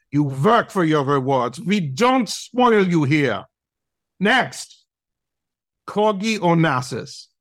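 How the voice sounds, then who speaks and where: A man's voice: sits high, a bit bright, no room sound, clean studio sound, exaggerated voice. A man playing a haughty, demanding dog teacher of acting in an audio play, with a pompous, theatrical manner. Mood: scornful.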